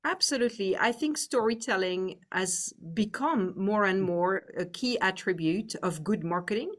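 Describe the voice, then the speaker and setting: Woman, 50s, French accent; podcast guest, reflective, pausing now and then. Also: mid-range voice. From France. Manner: thoughtful, reflective, frequent "um" pauses. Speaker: guest executive. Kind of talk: interview conversation.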